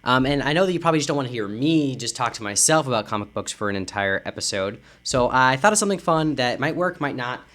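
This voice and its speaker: A man hosting a comics podcast alone, talking quietly and hesitantly with a self-deprecating tone and many fillers. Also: medium pitch, lively intonation, fast, few pauses. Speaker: podcast host. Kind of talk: casual podcast intro.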